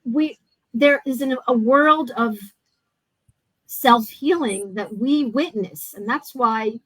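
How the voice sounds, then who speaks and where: A woman host with a slightly high voice speaks earnestly over a video call, pressing her points with emphasis. The sound is close and dry, with no room behind it. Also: slow, fairly bright tone, dry recording, low-quality recording. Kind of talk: casual chat.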